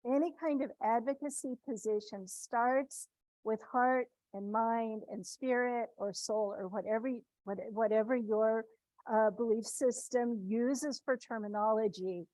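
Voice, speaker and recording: A woman's voice: a little high, measured pace, dark tone, no echo, poor audio. A woman from the Midwest giving an informal talk to students, speaking conversationally with frequent restarts and tag questions.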